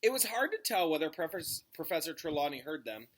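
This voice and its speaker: A male teacher narrating a storybook aloud in an expressive, informal way. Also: a little high, a little fast.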